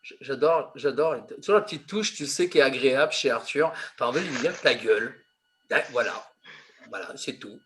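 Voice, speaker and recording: A man's voice: medium pitch, moderate pace, fairly bright tone, a little hiss or hum. A man teaching a teen improv class over a video call, teasing the students with lots of laughs and fillers.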